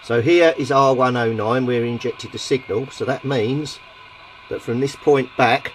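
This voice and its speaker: A man's voice: medium pitch, fairly even intonation, normal speed, slight background noise, low-quality recording. A man from Britain walking through a radio repair step by step in a plain, methodical way, thinking aloud with small fillers. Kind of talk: technical repair walkthrough.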